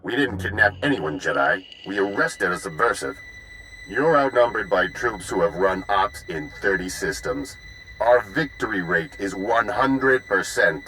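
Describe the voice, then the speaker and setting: Sharp, deep-voiced arrogant commandant. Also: male voice, lively intonation, unhurried, a little hiss or hum, low-quality recording. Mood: smug, threatening. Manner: commanding, boastful, curt. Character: arrogant, menacing male mercenary vice commandant. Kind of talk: video game villain acting.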